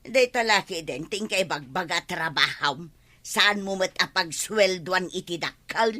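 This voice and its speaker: A woman's voice: fairly low pitch, unhurried, pushed and bright. An actress playing a nosy older village woman in a comedy radio drama, speaking with an Ilocano accent. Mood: chatty, nosy.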